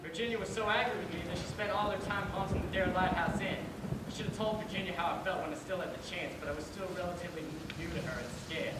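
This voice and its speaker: Man playing high-voiced, impassioned Confederate soldier ghost. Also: steady tone, quick pace, very echoey, lightly acted. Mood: pleading. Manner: declamatory, emotional. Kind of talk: theatrical staged reading. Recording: very noisy, distant microphone.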